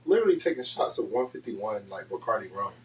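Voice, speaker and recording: Fast-talking man in his thirties. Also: lowish voice, big pitch swings, distant mic. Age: 30s. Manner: fast, filler-heavy talk with many "like"s. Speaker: podcast co-host. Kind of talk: casual chat.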